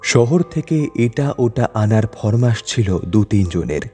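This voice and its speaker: A man's voice: fairly low pitch, animated, takes it slowly, mellow, slightly rough. A man narrating a literary short story from a script. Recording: long reverb over music bed.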